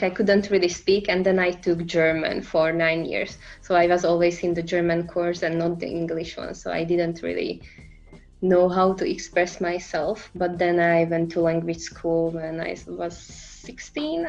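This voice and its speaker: Soft-spoken woman, slow and low. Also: lo-fi sound. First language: Slovak and Hungarian. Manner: laughs often, hesitant fillers. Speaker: guest. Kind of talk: casual conversation.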